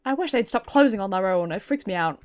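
A woman's voice: mid-range voice, lively intonation, fast talker, slight background noise. A British woman, a streamer giving chatty, giggly commentary while playing a video game in a casual livestream.

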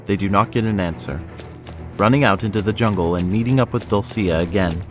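A man's voice: lowish voice, a little fast. A man giving scripted narration for an action audio drama. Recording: loud music over the voice.

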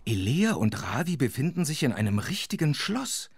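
A chatty storyteller narrating a children's audio drama. His husky voice moves around expressively, wondering aloud as he goes, in a dry, close recording. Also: medium pitch, big pitch swings, moderate pace, dry recording, exaggerated voice. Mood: amazed. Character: chatty, wondering male storyteller who comments along.

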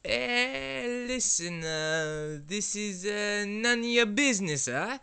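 A man voicing a shady, hot-tempered pizzeria owner with an exaggerated fake Italian accent and halting, broken English. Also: sits high, animated, creaky, bright, forceful tone, clear, resonant tone, full character voice. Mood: evasive, shifty. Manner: hammy, halting broken English with filler syllables. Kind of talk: comic character acting.

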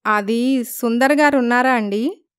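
A woman's voice: on the high side, unhurried, clear, resonant tone, no echo, studio quality, close to an everyday voice. A woman voicing an anxious young wife in a cartoon story, polite and plaintive.

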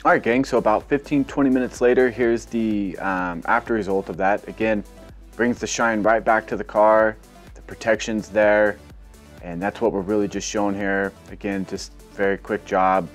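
Brief: lower-voiced guy, laid-back how-to vlog narration, faint music under. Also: moderate pace. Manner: relaxed, rambling, filler-heavy explaining. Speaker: car detailing vlogger. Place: outdoor car wash bay.

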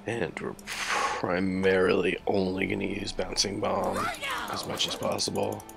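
Sick man with a deep gravelly voice. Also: completely flat delivery. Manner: slow, low-key and apologetic. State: sick, scratchy and rough-voiced. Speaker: gameplay commentator. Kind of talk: casual gameplay commentary.

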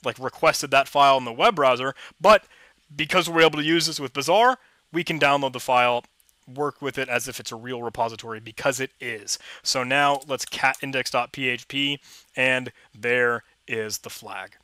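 Bright-voiced young man on headset. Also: medium pitch, normal speed, no room sound. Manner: chatty, enthusiastic, rambling with restarts. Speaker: tech tutorial host. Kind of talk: casual screen-recorded walkthrough.

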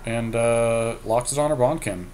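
A man talks casually through his moves in a card game, with an average pitch and loose, slangy phrasing that slips into swearing. He sounds like a podcast host bantering with a friend. There is faint noise in the recording. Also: unhurried. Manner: slangy, profane.

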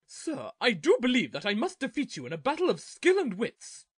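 A man voicing a prissy, plump young gentleman ninja, pompous and over-polite, in a cartoon parody. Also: very high-pitched, wildly swooping pitch, moderate pace, breathy edge, no room sound, full character voice. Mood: genteel indignation. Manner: pompous, over-polite old-fashioned phrasing.

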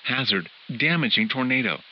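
A man's voice: mid-range voice, lively intonation, moderate pace. A man's synthetic text-to-speech voice reading a scripted emergency weather bulletin in a formal, clipped way, as a radio alert announcer. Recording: hissy, muffled weather radio broadcast.

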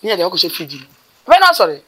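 A middle-aged woman with a Ghanaian accent, a mortuary worker telling her stories in an outdoor interview, animated and gesturing as she talks. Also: fairly low pitch, husky, low-quality recording. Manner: animated, gesturing with her hands, rapid bursts of speech. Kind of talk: storytelling interview. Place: outdoors by a building wall.

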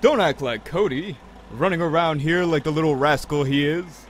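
A man's voice: on the high side, moderate pace, a little hiss or hum, lightly acted. An actor playing Clayton's part in an audio drama, a talkative, good-humored male drug dealer with a teasing, folksy manner. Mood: cheerful boasting.

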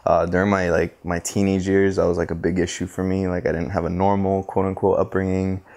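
Man in his twenties, deep pitch, interview guest, halting, reflective, hedging. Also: flat delivery, darkish tone. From Costa Rica, later United States. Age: 20s. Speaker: interview guest, photographer and visual artist. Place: living room, indoors on a sofa. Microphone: clip-on lapel mic.

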